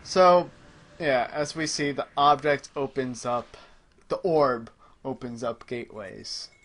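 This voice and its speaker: A man's voice: medium pitch, takes it slowly, a bit bright. A man giving casual gameplay commentary as he plays, explaining details a little pedantically. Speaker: host playing the game.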